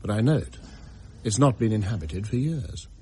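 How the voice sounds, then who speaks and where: A man playing a polite, measured English gentleman of the Victorian era, narrating and acting in a period audio drama. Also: on the low side, lively intonation, slight background noise, natural voice. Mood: grave concern. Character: genteel Victorian gentleman narrator, settled, earnest, cautious.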